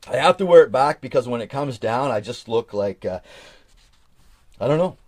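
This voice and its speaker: A man's voice: medium pitch, animated, normal speed, a little mellow, dry recording, clean studio sound. A man in his forties chatting casually on a live stream, reading from an old journal and rambling with frequent restarts. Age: 40s.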